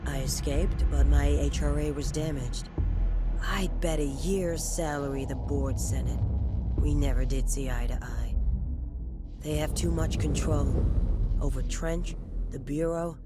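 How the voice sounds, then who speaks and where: A woman's voice: deep voice, fairly even intonation, a little slow, loud music behind the voice. A woman playing a hardened middle-aged government operations chief in a video game, grim, terse and bitter. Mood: grim, weary resolve. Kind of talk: video game cutscene monologue.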